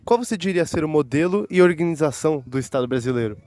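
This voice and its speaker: A man's voice: on the high side, lively intonation, fast, darkish tone. A man interviewing people on the street, asking short questions.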